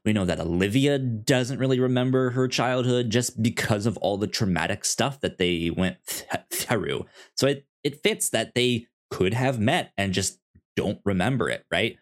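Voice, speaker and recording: A man co-hosting a casual discussion show, speaking haltingly and often stammering over the starts of his words. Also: lowish voice. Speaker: podcast co-host.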